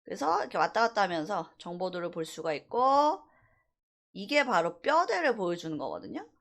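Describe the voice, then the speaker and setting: Lively woman teaching a software tutorial. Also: medium pitch, a little fast, vocal fry. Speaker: university animation instructor.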